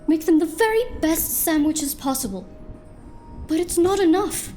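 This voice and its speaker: An actress playing a sweet, nervous diner owner in a noir audio drama, genteel and hesitant, sounding innocent. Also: female voice, extremely high pitch, animated, normal speed, dark tone, soft background music. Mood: wounded, straining.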